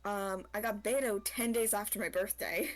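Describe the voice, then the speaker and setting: A woman's voice: mid-range voice, moderate pace. A woman guest on a game stream, chatting casually and somewhat hesitantly. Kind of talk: casual chat about gaming.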